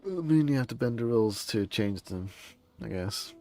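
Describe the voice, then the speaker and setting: A man's voice: medium pitch, expressive, moderate pace, dark tone, no room sound. A man giving casual, rambling, laid-back live commentary as he streams a game. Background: faint game music.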